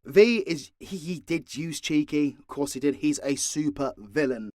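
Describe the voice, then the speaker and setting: A man's voice: on the high side, moderate pace, a little mellow, no echo, clean studio sound. A man speaking off the cuff as an audio drama creator answering listeners, blunt and sweary with a theatrically exasperated manner. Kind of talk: unscripted Q&A monologue to listeners.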